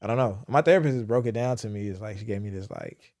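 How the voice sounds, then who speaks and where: A young man talks in loose, candid podcast chat, cursing casually as he reflects. His voice sits on the low side. The sound is dry and close, with little room around it. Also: quick pace, a little mellow, no room sound. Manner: candid, profane, reflective storytelling. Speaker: podcast host.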